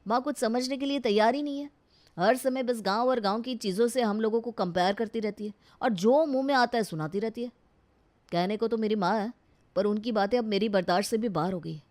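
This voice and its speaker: A woman's voice: fairly high pitch, fairly quick, a little mellow, natural voice. A woman voicing a frustrated young husband caught between mother and wife in a cartoon family story, exasperated and reasoning. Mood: weary frustration. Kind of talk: Hindi animated family story acting.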